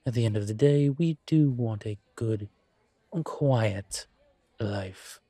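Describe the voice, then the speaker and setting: A man playing a calm, courteous incubus professor and investigator in an intimate audio roleplay, speaking considerately with careful pauses. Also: on the low side, dry recording. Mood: earnest. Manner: measured, considerate, hesitant pauses. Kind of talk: scripted audio roleplay, intimate one-sided conversation.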